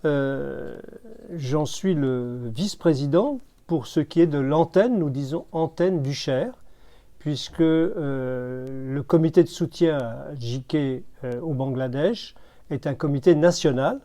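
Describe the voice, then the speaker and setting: A man from a support association answers questions slowly and carefully. His voice is warm and mellow. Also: a little high. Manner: slow, careful explaining. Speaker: association vice-president. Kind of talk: interview answers.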